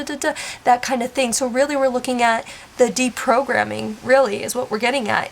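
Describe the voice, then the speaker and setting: A congested woman giving a casual past life card reading, rambling and searching for words, with soft whispered asides. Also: on the high side, slight background noise. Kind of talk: casual spoken tarot-style reading.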